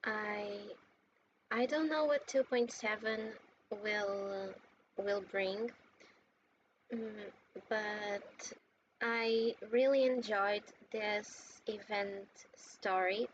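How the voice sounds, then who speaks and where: A woman giving casual, heartfelt game commentary in a gushing, sentimental and halting way. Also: medium pitch, steady tone. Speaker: gaming content creator. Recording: muffled lo-fi mic with noticeable background noise.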